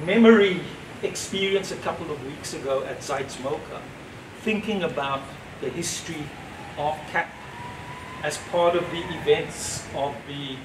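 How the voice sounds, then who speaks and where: A man in his sixties giving a reflective, measured speech to an audience at a gallery opening, with pauses between phrases. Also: on the high side. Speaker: speaker at an exhibition opening. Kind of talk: unscripted public speech. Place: gallery entrance, indoors facing an audience. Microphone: far camera, no mic seen. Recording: roomy, distant, noisy ambience.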